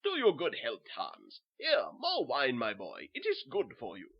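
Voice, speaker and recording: A man's voice: medium pitch, wildly swooping pitch, a little slow, slightly rough, highs cut off, full character voice. A man playing a hearty, coaxing German butcher with a German accent in a horror story. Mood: genial. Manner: genial, coaxing.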